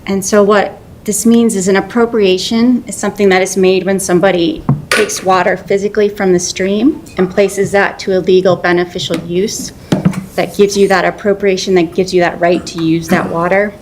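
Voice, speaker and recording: Low-voiced woman explaining water law. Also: normal speed, slight background noise. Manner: steady, explanatory, with fillers. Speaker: water resources manager. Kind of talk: council presentation.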